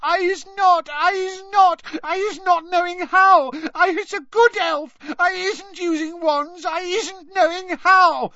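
A tiny female house elf servant in a fantasy audiobook. The voice is squeaky and shoots far above normal talk, tearful and terrified. She pleads in broken grammar and repeats herself. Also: steady tone, slow, hardly any pauses, pushed and bright, no room sound, dull audio, full character voice. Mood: terrified wailing.

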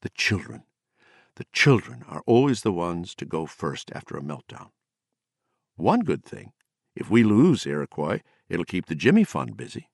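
A man's voice: fairly low pitch, sing-song, normal speed, no echo, studio quality, voice pushed up. A man voicing a drunk, bitter and eloquent poet who rants mockingly with a rising voice. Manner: mocking, sardonic, raising his voice.

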